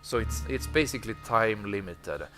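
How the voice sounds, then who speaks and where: A man with a slightly low voice talks through a video game stream, pausing often with ums and uhs. Soft game music plays faintly behind him. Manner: hesitant, many ums and uhs. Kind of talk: casual gameplay commentary.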